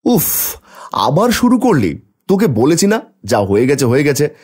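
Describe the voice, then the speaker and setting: A man's voice: fairly high pitch, huge pitch swings, a little slow, a little mellow, husky, heavily acted. A man acting as a young office worker and impatient friend who brushes off worries in a horror cartoon. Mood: exasperated.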